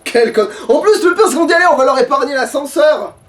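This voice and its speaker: A man playing a video game and chatting casually, foul-mouthed and prone to exasperated grumbling and shouting. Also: extremely high pitch, animated, quick pace, far from the mic. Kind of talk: casual let's play chat.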